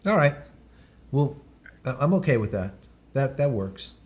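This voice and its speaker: A man's voice: mid-range voice, very animated, a little slow, darkish tone. A man hosting a live stream, chatting casually, rambling, with many fillers and restarts. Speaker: livestream host.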